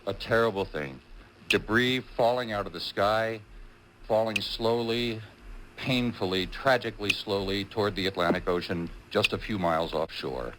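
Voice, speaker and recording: Low, solemn man on noisy broadcast tape. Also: normal speed. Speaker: news reporter. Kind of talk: live eyewitness commentary.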